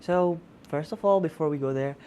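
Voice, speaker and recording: A man's voice: on the high side, normal speed, mellow, slight background noise. A man in his twenties from Tanzania, answering conversationally as a student guest in an interview. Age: 20s. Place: student television studio.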